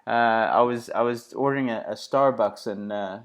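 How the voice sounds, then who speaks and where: A man with a South African accent who hosts a podcast and fires off quick, upbeat casual interview questions. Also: mid-range voice. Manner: brisk, upbeat, laughs often. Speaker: podcast host.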